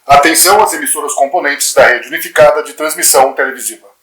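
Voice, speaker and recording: A man's voice: a little high, quick pace, close to an everyday voice. A man playing an official television network announcer, formal and declamatory, in a horror film's mock broadcast. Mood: authoritative. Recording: very noisy, echoing old broadcast.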